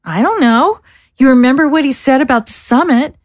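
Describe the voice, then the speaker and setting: A woman's voice: sits high, expressive, brisk, no room sound, studio quality. A woman playing a guarded, disillusioned young woman in an audio drama, speaking in a hesitant, downbeat way. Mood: pessimistic. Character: guarded, disillusioned young woman, modest former militia member.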